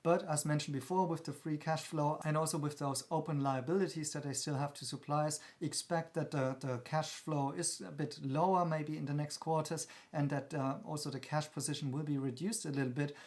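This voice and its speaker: A man's voice: fairly high pitch, steady tone, fairly quick, few pauses, a little mellow. A man hosting an investment channel, giving analytical commentary in an explanatory manner with frequent hesitations. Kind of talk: analytical commentary on company earnings.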